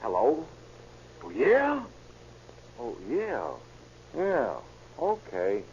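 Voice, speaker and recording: A noisy, muffled old radio recording with an evasive newsman. His voice is a touch high and moves expressively, casual and offhand in vintage drama style. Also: huge pitch swings, drawn-out words, darkish tone, heavily acted. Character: casual, evasive newspaper reporter hiding a tip. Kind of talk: 1940s-50s American radio drama acting.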